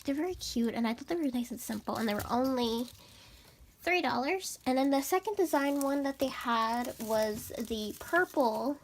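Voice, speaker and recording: Bubbly young woman with a raspy voice. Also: sits high, a little hiss or hum. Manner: bubbly and chatty, many fillers. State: raspy, stuffed-up voice from allergies. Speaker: vlogger. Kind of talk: casual haul vlog chat.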